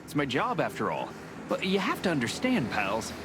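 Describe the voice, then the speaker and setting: An actor playing a nervous, nosy seagull in a noir video game, chattering and stammering in an ingratiating way. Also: male voice, medium pitch, wildly swooping pitch, normal speed, music in the background. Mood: flustered.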